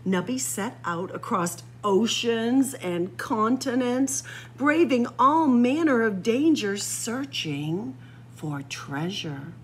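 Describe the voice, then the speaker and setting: A woman reads a picture book aloud to children in a lively, rising and falling voice that sits a little high. She takes her time, leaving dramatic pauses and stretching out key words. A noticeable hum of background noise runs under the recording. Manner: dramatic pauses and drawn-out emphasis for young listeners. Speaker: library story-time reader. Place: library room with bookshelves.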